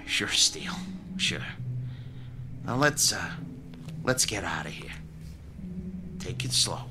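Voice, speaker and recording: An actor playing a loyal male boxing handler in an old radio drama, halting and soft-spoken. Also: sits low, sing-song, over music, heavily acted. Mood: gentle.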